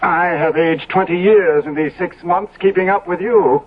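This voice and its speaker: An actor playing a decadent, ageing English aristocrat in 1970s radio drama, urbane, droll and tired of pleasure. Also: male voice, high voice, normal speed. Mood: curious, envious. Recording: tinny old radio recording, crowded background.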